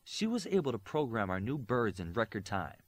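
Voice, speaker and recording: A busy, methodical female tech analyst, acted by a man narrating an audiobook, gives businesslike detail. The voice is raised from a low male register and lively in pitch. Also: normal speed. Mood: focused, harried.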